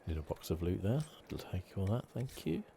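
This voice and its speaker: A soft-spoken, low-key man giving casual ASMR commentary while he plays a video game. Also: very animated, a little fast, gravelly, a little hiss or hum. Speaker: gaming channel host. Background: video game sound.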